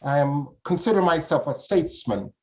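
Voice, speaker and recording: A man's voice: on the high side, very animated, moderate pace. An older man from Jamaica, answering as an interview guest in formal, flowery phrasing. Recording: dull, lo-fi audio.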